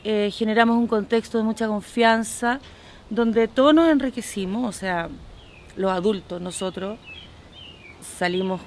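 Woman, mid pitch, reflective interview, light birdsong and noise behind. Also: a little slow, darkish tone.

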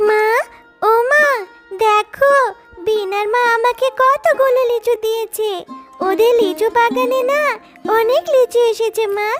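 A little animal girl for a children's cartoon, with an extremely high, sweet voice. The cub speaks in a childlike, coaxing way, eager and pleading. Music plays clearly underneath. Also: measured pace. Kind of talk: children's cartoon story dialogue.

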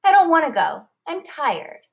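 A woman's voice: sits high, big pitch swings, measured pace, gravelly, pitched above the performer's own voice, full character voice. A woman voicing a small young girl firefly from a storybook, childlike and emphatic, eager but easily upset. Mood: upset, whining.

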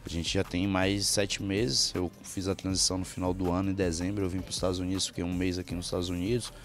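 A man's voice: deep voice, flat delivery, fast talker, soft background music. A young man from Bahia, Brazil, a fighter talking in a casual interview in front of a press backdrop on a handheld mic. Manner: confident, hypes himself up. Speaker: MMA fighter. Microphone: handheld mic held by interviewer.

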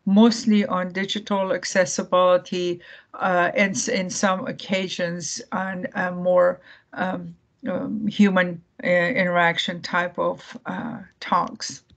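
A woman from Iran giving a presentation over a video call, speaking haltingly with many fillers and restarts. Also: lowish voice, flat delivery. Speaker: guest speaker.

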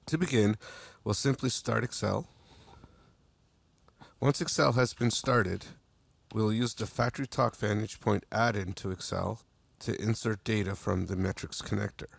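A man narrating a screen-recorded software tutorial, walking through each step in a steady way with small restarts. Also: lowish voice, measured pace, gravelly, pauses often, husky, no room sound.

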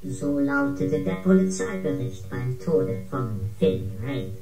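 Echoing, impassive ship computer. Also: female voice, sits low, wildly swooping pitch, takes it slowly, gravelly, full character voice. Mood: official. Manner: formal, detached announcing. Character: impassive female ship computer, coolly official. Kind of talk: science-fiction radio drama acting. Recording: reverberant, music underneath.

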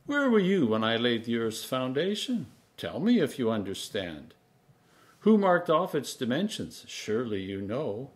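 A man in his sixties, an Anglican priest, reading scripture aloud in a measured, solemn, emphatic manner. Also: mid-range voice, very animated. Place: home kitchen, indoors.

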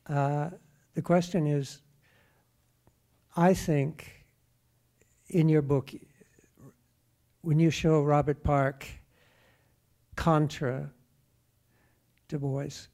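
A man makes a prepared comment at a question session. His voice is mellow and a little higher than average, and his delivery is deliberate, didactic and emphatic. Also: flat delivery. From Norway. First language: English. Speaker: audience questioner, teacher. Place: lecture theatre audience.

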